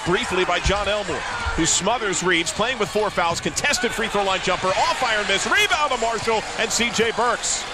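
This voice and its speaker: A man's voice: sits high, lively intonation, moderate pace. A man calling live basketball play-by-play on radio in clipped, vivid, projected phrases. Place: courtside in an indoor arena. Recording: loud arena noise, bouncing balls. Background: basketball game noise.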